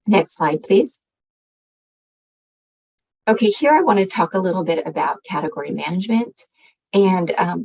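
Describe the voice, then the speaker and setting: A woman's voice: deep voice, darkish tone, low-quality recording. A woman giving an explanatory slide presentation as a government agency presenter, speaking hesitantly with frequent ums.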